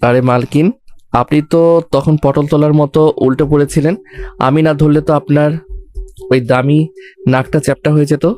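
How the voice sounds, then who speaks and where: A man's voice: fairly high pitch, fairly even intonation, normal speed, pauses often, dark tone, lightly acted. A man playing a cheeky, bold young servant in an audio love story, teasing with mock deference and protective.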